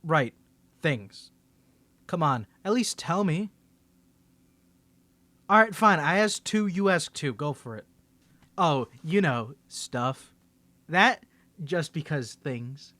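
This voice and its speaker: A man's voice: fairly high pitch, expressive, takes it slowly, long pauses, sharp tone, no echo, heavily acted. A man playing a confident, teasing young superhero in a scripted audio roleplay, bantering playfully and stammering when he gets flustered.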